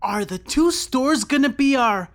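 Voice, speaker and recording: A woman's voice: high-pitched, lively intonation, unhurried. A woman playing an eager, trusting kid with an earnest manner in an audio drama. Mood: excited. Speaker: voice actor.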